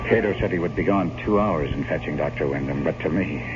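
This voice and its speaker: A stubborn, anguished gentleman in a gothic horror radio play. The voice is deep and formal, with dramatic gravity. The old broadcast sounds dull and noisy. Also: brisk. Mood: brooding. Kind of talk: 1970s radio drama acting and first-person narration.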